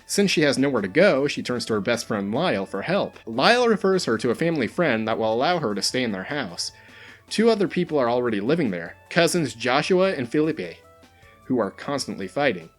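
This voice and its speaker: Man narrating a countdown with lively pitch. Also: brisk, faint music behind. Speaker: host of a video game recommendation countdown. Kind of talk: scripted narration of a top list.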